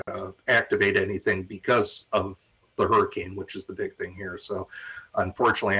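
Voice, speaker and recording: A man's voice: fairly low pitch, sharp tone, breathy edge, low-quality recording. An American man hosting an informal online question-and-answer session as moderator. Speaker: host and moderator of an online talk.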